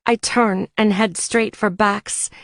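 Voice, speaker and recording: A woman's voice: medium pitch, steady tone, slow pace, no room sound, studio quality. A woman reading scripted first-person fiction as an audiobook narrator.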